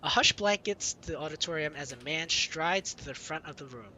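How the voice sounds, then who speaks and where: Higher-voiced man reading game narration. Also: animated, moderate pace, pushed and bright, a little hiss or hum. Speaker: gaming video host. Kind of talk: reading visual novel narration with casual asides. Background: game music.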